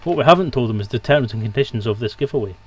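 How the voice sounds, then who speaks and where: A man's voice: medium pitch, expressive, rapid-fire, breathy edge. Man hosting a casual livestream. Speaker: stream host. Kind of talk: casual stream chat.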